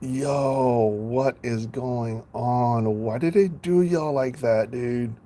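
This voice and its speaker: A man's voice: mid-range voice, takes it slowly, dark tone. A man chatting casually on a live stream, playful, laughing often and stumbling over names.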